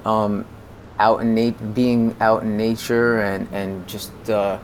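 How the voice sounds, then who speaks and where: An American man in his forties speaking conversationally as an interview guest, in a halting way that often repeats words. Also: fairly low pitch, flat delivery, a little hiss or hum. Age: 40s. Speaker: podcast guest, music consultant.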